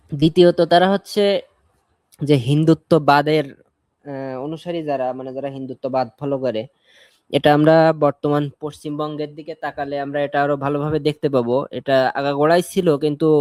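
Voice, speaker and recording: A man's voice: fairly high pitch, darkish tone, no echo. A man speaking as a panelist in an informal live discussion, explaining his points at length.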